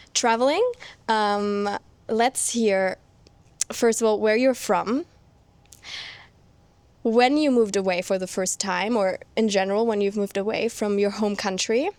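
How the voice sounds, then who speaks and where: A woman's voice: medium pitch, dry recording. A woman hosting a podcast, casually introducing a chat among friends with frequent fillers. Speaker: podcast host. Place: indoor room.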